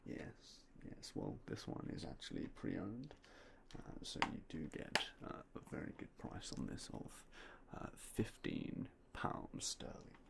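A young man whispering softly and politely as a game shop clerk in an ASMR roleplay, close to a binaural mic. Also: extremely low pitch, huge pitch swings, a little slow, vocal fry, background noise. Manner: quiet, whispery, polite shopkeeper patter.

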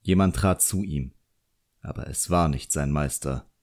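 A man's voice: very deep voice, a little slow, darkish tone. A man narrating a literary audiobook in a dramatic storytelling style.

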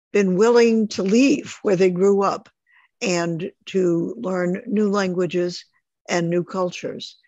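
A woman leading a group discussion on a video call, speaking in a measured, thoughtful way. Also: on the low side, a little mellow, no echo. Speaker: group facilitator. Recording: slightly muffled dry video call audio.

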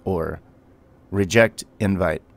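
A man's voice: low voice, slow pace, creaky. A man giving a gameplay tutorial, mixing clipped spoken commands with casual explanation. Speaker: tutorial host.

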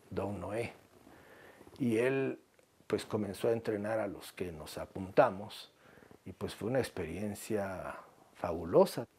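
A man in his seventies with a Guatemalan accent, recalling his life in a measured interview with halting pauses. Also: fairly low pitch, darkish tone, husky. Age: 70s. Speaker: researcher telling his life story. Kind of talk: reflective interview narration. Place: small book-lined room indoors.

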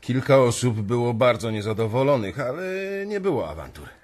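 An actor playing an uneasy young banker who narrates a radio drama in a measured, reflective way. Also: male voice, medium pitch, lively intonation, darkish tone, husky. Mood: careful. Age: young adult.

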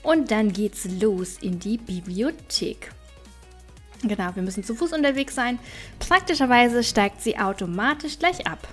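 A woman with a slightly high voice commentates casually on a video game. She chats and thinks aloud as she goes, in the easy style of a let's play. Soft game music plays behind her. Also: animated, a little slow. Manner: chatty, thinking aloud to herself and viewers. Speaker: gaming YouTuber.

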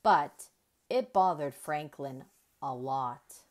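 A woman reading a children's story aloud in an expressive, deliberate storyteller's manner. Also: deep voice. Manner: deliberate, animated storytelling with emphasized dialogue.

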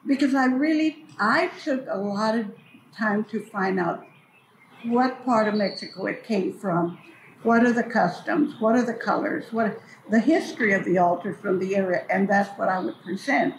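A woman's voice: medium pitch, moderate pace. Older woman reminiscing in an echoey hall. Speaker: storyteller and community elder recalling memories. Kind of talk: casual oral reminiscence. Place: large echoey hall at a cultural building. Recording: echoey hall with clear background noise.

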